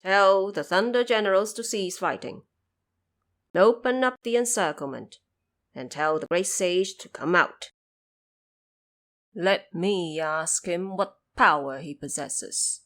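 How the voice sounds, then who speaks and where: A woman voicing a lofty male Buddha, solemn, measured and stern, in an audio drama. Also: lowish voice, fairly bright tone. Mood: commanding. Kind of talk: audio drama character acting.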